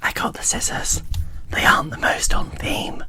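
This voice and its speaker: A woman whispering softly and a little hesitantly in an ASMR unboxing style. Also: normal speed. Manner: soft, hushed, hesitant. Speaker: ASMR video creator.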